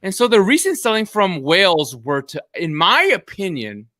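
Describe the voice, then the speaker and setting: A man's voice: sits high, very animated, normal speed, bright, forceful tone, dry recording, studio quality. A man whose first language is Korean, tipsy and rambling with many restarts as a panelist on a casual video call chat. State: drunk. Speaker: podcast panelist.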